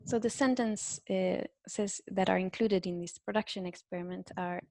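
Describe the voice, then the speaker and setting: A woman's voice: mid-range voice, steady tone, a little mellow, no echo. Woman giving an academic talk. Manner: measured, frequent "uh" fillers, explanatory. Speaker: linguistics researcher presenting a talk.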